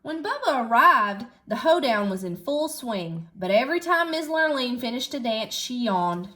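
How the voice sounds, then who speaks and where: Female storybook reader, teacherly, high voice with very lively intonation. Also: moderate pace. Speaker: schoolteacher reading aloud. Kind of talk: animated children's storybook narration.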